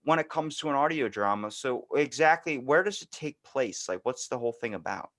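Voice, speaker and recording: A man's voice: mid-range voice, expressive, a little fast, a bit bright. A man hosting a podcast in casual chat, speaking in an effusive, blunt and sweary way. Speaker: podcast host.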